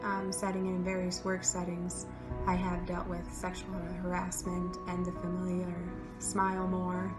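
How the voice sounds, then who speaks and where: A woman's voice: on the low side, completely flat delivery, moderate pace. A young woman from the American Midwest, a teacher, giving an earnest and articulate personal account. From United States, Midwest. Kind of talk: personal testimony. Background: music.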